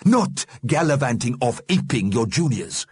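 Man as a stern, sanctimonious ghost, animated pitch. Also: a little slow, husky, dry recording, clean studio sound, heavily acted. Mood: ominous warning. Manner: admonishing, pompous.

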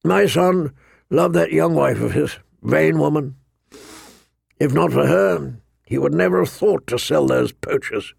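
A man narrating an audiobook, voicing a gruff, grumbling, weary old lord commander with a growling, rumbling voice. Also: unhurried, no echo, studio quality, heavily acted. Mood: bitter, wistful. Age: older.

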